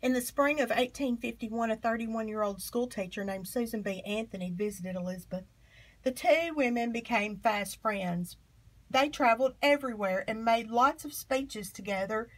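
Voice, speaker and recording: A woman with a fairly high voice reads a children's picture book aloud. Her phrasing is expressive and emphatic, shaped for young listeners. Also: moderate pace, poor audio. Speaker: storybook reader.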